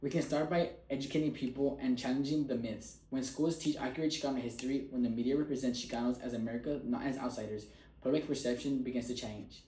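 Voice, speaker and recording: A man gives a prepared persuasive speech in an earnest, emphatic way. His voice is dark and mellow, with an average pitch. The room around him is roomy and echoey. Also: steady tone, fast, slight background noise. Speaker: student giving a speech.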